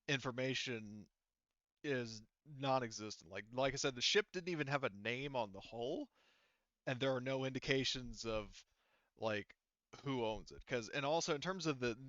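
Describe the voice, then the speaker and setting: A man's voice: medium pitch, a bit bright, dry recording. Dry, slightly muffled male game master. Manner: halting, circles back and rephrases. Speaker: game master of an online tabletop game. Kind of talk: casual improvised game narration.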